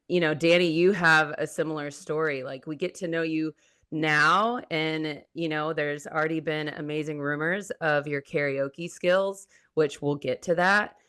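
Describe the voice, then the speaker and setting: Host intro, mid-pitched and conversational; earnest and loose, circling around the point. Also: fairly bright tone, clean tone. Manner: rambling, earnest, filler "like". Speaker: podcast host. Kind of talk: casual interview chat.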